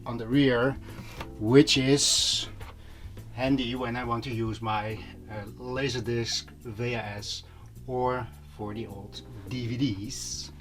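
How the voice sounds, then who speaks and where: A man talking casually to viewers, explaining things in a conversational way as an audio vlogger. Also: medium pitch. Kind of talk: casual vlog talk to viewers. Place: small room at home. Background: music playing.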